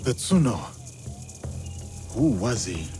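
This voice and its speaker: An actor playing a steadfast, gentle warrior man in a video game, speaking in a measured, earnest way. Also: mid-range voice, very animated. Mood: solemn, calm. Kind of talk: cinematic video game acting. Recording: background music under the voice.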